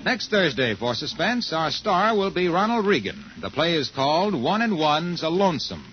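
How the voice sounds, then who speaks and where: An American male radio announcer in a 1950s style, high-voiced and very animated in pitch. He delivers copy in an emphatic, polished sales manner. The old broadcast sound is muffled. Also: normal speed, music in the background.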